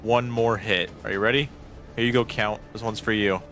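A man streaming a multiplayer game, reacting loudly with shrieks, laughs and yelps of panic. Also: fairly low pitch, steady tone, normal speed, music in the background. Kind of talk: excited gaming commentary.

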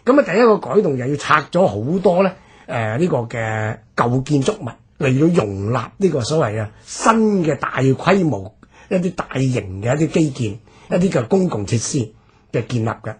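A male radio presenter with a Hong Kong Cantonese accent explains history in a very husky, rough voice. His pitch moves up and down in an animated way, and he stretches the ends of his phrases as he lays out each point. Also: moderate pace.

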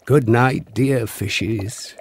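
A man narrating a bedtime story in a soft, soothing way, speaking directly to a child listener. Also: medium pitch, very slow, a little hiss or hum.

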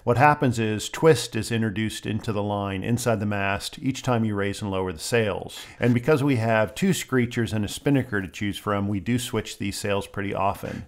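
A middle-aged man hosting a sailing vlog, narrating a calm, technical voice-over that explains boat gear step by step. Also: fairly low pitch.

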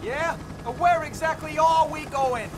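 An actor playing a nervous young soldier in a video game, anxious and quick to protest. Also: male voice, very high voice, noisy background. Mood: doubtful. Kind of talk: video game cutscene acting.

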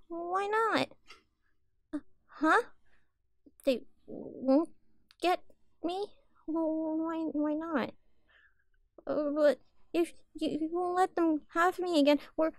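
A woman's voice: very high-pitched, no room sound, studio quality, voice pushed up, full character voice. A woman voicing a timid, sweet, childlike catgirl in a roleplay audio, hesitant and stammering, meek and eager to please.

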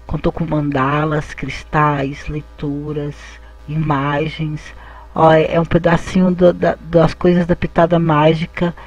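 A man's voice: fairly high pitch, completely flat delivery, measured pace, dull audio. A man, hosting his channel and narrating an event video as a voice-over. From Brazil. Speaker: channel host. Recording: faint music behind the voice.

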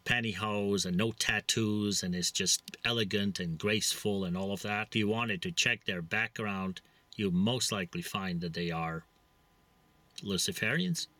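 A man's voice: lowish voice, sharp tone, dry recording. Blunt Czech-accented man in a car. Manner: blunt, rambling, preachy with many fillers. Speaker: vlogger. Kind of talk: casual monologue to camera. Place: inside a parked vehicle. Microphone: camera mounted close in front.

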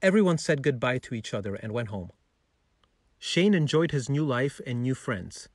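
A man's voice: medium pitch, very animated, normal speed, no echo, studio quality. A man narrating a scripted bedtime story for children as a storyteller.

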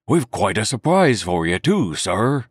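A man's voice: fairly low pitch, sing-song, a little slow, husky, no echo, clean studio sound, full character voice. A man voicing a hearty, wheedling old one-legged pirate cook in a storybook reading. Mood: jovial. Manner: wheedling, jovial sea talk.

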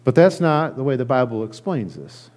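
A middle-aged man, a pastor, preaching a conversational sermon in an earnest, halting way with repeated phrases. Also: mid-range voice, sing-song, a little mellow. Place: church sanctuary, at a lectern indoors.

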